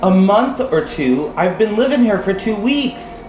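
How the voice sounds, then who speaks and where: A woman's voice: mid-range voice, expressive, measured pace, roomy sound, noisy background, close to an everyday voice. A woman voicing an incredulous, confrontational woman who has just moved into a house, in an audio drama. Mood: exasperated.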